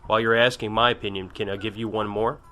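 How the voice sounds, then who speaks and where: A man's voice: fairly low pitch, level tone, fast talker, pushed and bright, faint music behind. A man playing a loyal, frank and kindly military right-hand man in an audio drama.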